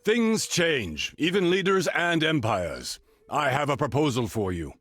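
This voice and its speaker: Man as a highly expressive diplomatic game leader. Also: a little slow, dry recording, exaggerated voice. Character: grand, diplomatic male leader in a strategy game. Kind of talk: scripted game character lines.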